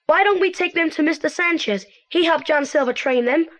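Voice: high-pitched, brisk, fairly bright tone. A young performer playing a confident teenage boy detective in a children's audio play, eager and articulate.

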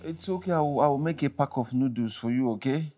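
A man's voice: mid-range voice, fast talker, darkish tone, soft background music, lightly acted. A male actor with a Nigerian accent playing a harried, exasperated father in a screen drama, repeating himself as he pleads and scolds. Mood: weary irritated. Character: harried, exasperated father struggling alone with his children. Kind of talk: naturalistic screen drama acting.

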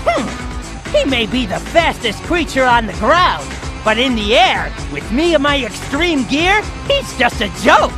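Brash young hawk rogue, high lively voice, impatient, game cutscene with music. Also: male voice, a little slow, exaggerated voice. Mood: cocky. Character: cocky young male hawk, gang leader and racer.

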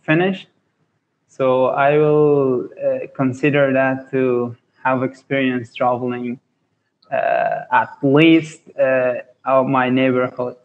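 A young man from Afghanistan in his twenties, a university student, practising on a video call and halting as he searches for words. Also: medium pitch, level tone, narrow, tinny audio. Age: 20s. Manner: halting, searching for words, non-native phrasing. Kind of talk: casual video call chat. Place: indoor room. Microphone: earphone headset on a video call.

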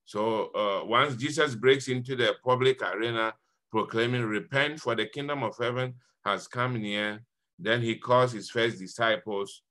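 Bright-voiced Ghanaian priest. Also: male voice, medium pitch, measured pace. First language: Fanti. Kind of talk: informal scripture reflection to a group. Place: indoor room, on a group video call. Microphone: video call.

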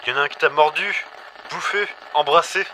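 A man's voice: on the high side, moderate pace, bright, forceful tone. A man playing a brash, wary survivor talking over a radio, blunt and slangy, in an audio drama. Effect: radio filter. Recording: harsh noisy radio link.

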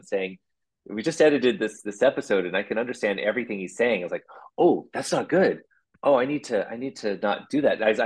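A man, a puppeteer, talking casually as a guest, rambling with restarts and quick laughs. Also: fairly low pitch, husky, poor audio. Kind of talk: casual interview chat.

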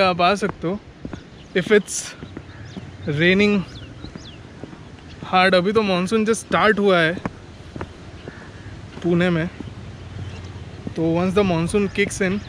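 A man's voice: high voice, fairly even intonation, takes it slowly, pauses often, a bit bright. A young man giving casual, chatty vlog commentary outdoors, talking to his viewers like friends. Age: young adult. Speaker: motovlogger. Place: outdoors, riding a motorbike and at a rocky riverside. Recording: clear road, wind and engine noise.

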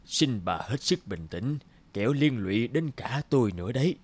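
A man's voice: mid-range voice, lively intonation, moderate pace. A man voicing a reluctant, fearful old sun god in a cartoon fairy tale. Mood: cautious.

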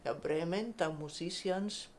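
Woman guest with halting, conversational delivery. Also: fairly low pitch. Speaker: podcast guest, marine scientist. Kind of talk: casual interview storytelling.

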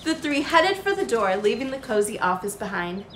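A woman's voice: a little high, big pitch swings, normal speed, fairly bright tone. A young adult woman reading a picture book aloud expressively as a children's storytime host. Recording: roomy, with faint background noise.

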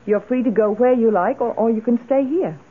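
An actress playing a well-to-do mistress of the house in a radio drama, brisk and commanding. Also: female voice, on the high side, husky. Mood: brisk, dismissive. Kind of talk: old radio drama acting. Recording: tinny, hissy old radio recording.

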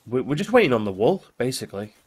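A man's voice: medium pitch, big pitch swings, fast talker, breathy edge. A man with a stuffy, congested voice from a cold, chatting casually during co-op gameplay. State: has a cold, run down. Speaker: gaming video host.

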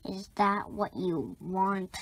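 A woman voicing a stern, weary creature father in a children's storybook, measured and admonishing. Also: medium pitch.

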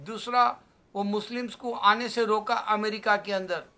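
A man, giving an emphatic, exhortatory religious talk to camera in measured phrases. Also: sits high, steady tone, bright, forceful tone. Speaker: religious vlogger. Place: small indoor room at home. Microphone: webcam or phone at arm's length.

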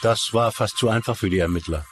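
A man voices a male interviewee commenting on a crime in a dubbed documentary. The voice is low, with clear noise behind it. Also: fairly quick, natural voice. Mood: matter-of-fact.

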